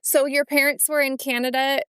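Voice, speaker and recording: A woman's voice: sits high, level tone, normal speed, a bit bright, no echo, studio quality. A woman co-hosting an interview podcast, presenting and asking questions conversationally. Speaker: podcast co-host. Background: music.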